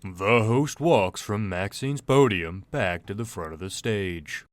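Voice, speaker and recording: A man narrates an audio drama in a fairly low voice. He reads scripted stage and scene descriptions as the story's narrator. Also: takes it slowly, no echo, clean studio sound.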